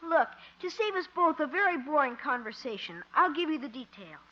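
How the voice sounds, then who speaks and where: A precocious runaway boy talks down to adults in a haughty, pedantic way. His voice is high and bright with a lively, sarcastic lilt. It comes through a dull 1950 radio broadcast. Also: a little fast, heavily acted. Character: precocious, insolent runaway boy of about twelve. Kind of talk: 1950s radio comedy acting.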